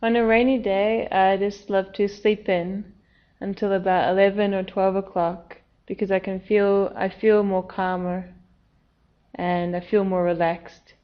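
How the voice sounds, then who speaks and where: A woman talking in a relaxed, even way. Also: mid-range voice, a little slow, muffled recording. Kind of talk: unscripted answers for a learner video.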